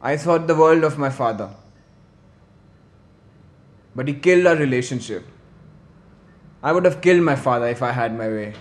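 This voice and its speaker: An actor playing a bitter grown son, speaking bluntly and indignantly in a film monologue. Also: male voice, mid-range voice, normal speed, long pauses, lightly acted. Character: bitter, angry grown son betrayed by his father. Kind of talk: dramatic monologue in a short film.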